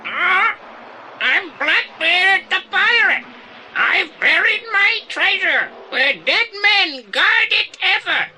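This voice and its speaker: An actor voicing a talking mynah bird that squawks boastful pirate phrases in a shrill, drawn-out theatrical way for an audio play. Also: big pitch swings, pushed and bright, a little hiss or hum, heavily acted, rough recording. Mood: boastful squawking. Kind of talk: 1980s audio play acting.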